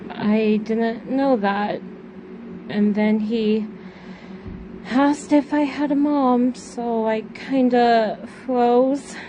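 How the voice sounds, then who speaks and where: Woman acting as young daughter, audio drama, slightly high voice, very slow and halting, gentle, sad, background noise. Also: fairly even intonation. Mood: hesitant, subdued. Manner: hesitant, halting, trailing off. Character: subdued grieving daughter, young, gentle and hesitant.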